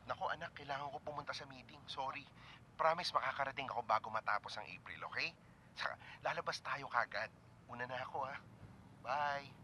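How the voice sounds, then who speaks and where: A man voicing an affectionate, hurried, apologetic father working away from home in a cartoon, with a Filipino accent. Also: medium pitch, background noise, lightly acted. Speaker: father character in animated film. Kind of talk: natural cartoon dialogue.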